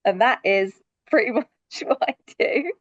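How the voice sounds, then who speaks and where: A woman's voice: fairly high pitch, expressive, unhurried, no echo, muffled recording. A woman with a London accent, a sustainability director cheerfully introducing herself on a video call webinar, with frequent ums. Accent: London English. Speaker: sustainability director, panel moderator.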